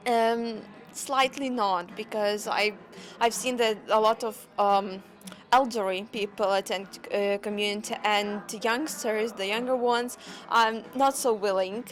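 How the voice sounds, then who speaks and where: A woman's voice: mid-range voice, fairly even intonation, measured pace. A young woman in an interview answering hesitantly with fillers.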